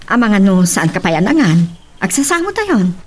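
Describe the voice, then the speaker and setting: A woman's voice: medium pitch, very animated, moderate pace, a little mellow. A woman playing a probing madam boss in an Ilocano radio drama. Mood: suspicious.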